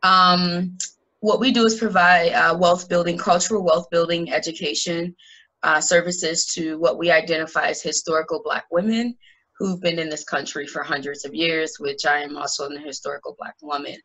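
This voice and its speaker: Bright-voiced woman, chatty and loose. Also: on the low side, normal speed, poor audio. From Illinois. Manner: loose and chatty, many fillers. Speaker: nonprofit founder, panelist. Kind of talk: webinar panel talk. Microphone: video call.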